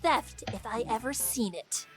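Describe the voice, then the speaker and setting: A bossy young woman acted out by a man in a visual novel, high-pitched and very animated. She is hot-tempered and suspicious, always accusing and scoffing at whoever faces her. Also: unhurried, soft background music, heavily acted. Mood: indignant.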